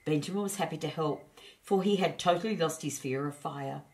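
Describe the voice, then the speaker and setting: A woman narrates a children's tale as a storyteller. Her voice sits a little low as she reads the scripted storybook aloud. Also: brisk, a little mellow.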